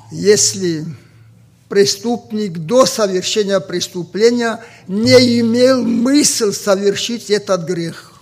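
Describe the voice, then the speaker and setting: A man from Ossetia with an Ossetian accent, a native Ossetian speaker preaching a sermon slowly and deliberately, pausing often with fillers. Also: high-pitched, darkish tone. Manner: slow and deliberate with many pauses and fillers.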